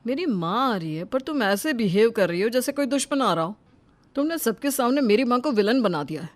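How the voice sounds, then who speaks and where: A woman voicing a mild young husband who reasons and placates softly in a dramatized story. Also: fairly high pitch, animated, a little fast, natural voice. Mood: reproachful. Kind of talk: dramatized Hindi moral story.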